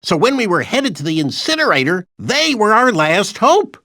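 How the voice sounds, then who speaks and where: A man's voice: high-pitched, very animated, moderate pace, sharp tone, no echo, studio quality, exaggerated voice. A man voicing an anxious, childlike toy dinosaur in a video game, earnestly retelling adventures with dramatic emphasis. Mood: earnest, excitable. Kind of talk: animated video game storytelling narration.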